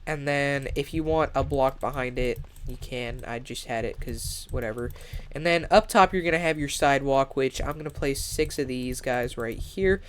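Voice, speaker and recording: A man's voice: medium pitch, normal speed, a little hiss or hum. A young man with a stuffy, congested voice talks casually through a building tutorial, with lots of fillers and restarts. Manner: rambling, many fillers and restarts. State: congested, nasal. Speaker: Minecraft build tutorial creator. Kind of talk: casual gaming tutorial voice-over.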